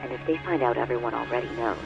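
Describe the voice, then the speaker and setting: An earnest lawyer, played by a male actor, speaks in a measured and formal manner, laying things out clearly at a middle pitch. It sounds like a video game cutscene, with music clearly playing behind him. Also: lo-fi sound, lightly acted. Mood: cautioning. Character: earnest male lawyer briefing a detective colleague.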